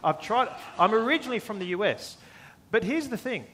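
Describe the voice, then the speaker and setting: A man with an Australian accent delivers an expository sermon in a notably high voice. He works rhetorically, posing questions and restating phrases to drive each point home. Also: lively intonation, a little fast, fairly bright tone, slight background noise. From born in the US, lived most of his life in Australia. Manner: rhetorical, repeats phrases for emphasis, asks and answers questions. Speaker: preacher.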